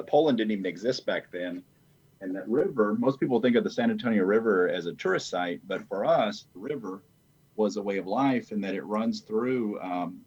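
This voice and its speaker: Male American panel guest, deep voice, reflective and measured, pausing often, on slightly muffled audio. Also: a little mellow, breathy edge. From rural south Texas. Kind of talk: reflective family storytelling.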